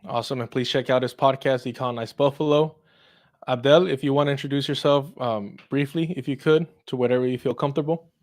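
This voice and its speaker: A man's voice: mid-range voice, fairly even intonation, quick pace. A man hosting a casual political commentary show in an irreverent, sweary, chuckling manner. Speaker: host.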